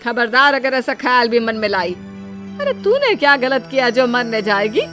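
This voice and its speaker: Woman voicing a scolding older mother-in-law, high-pitched. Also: lively intonation, moderate pace, a bit bright, faint music behind. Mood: firm, scolding. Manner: scolding, emphatic. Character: scolding, exasperated older mother-in-law, bossy but protective.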